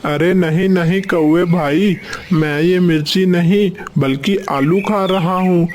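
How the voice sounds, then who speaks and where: A man's voice: high-pitched, slow, a little mellow, a little hiss or hum. A man voicing a rude, sarcastic parrot in a children's cartoon, with a curt manner. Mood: irritated. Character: rude, snappy male parrot. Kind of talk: Hindi children's cartoon story dialogue.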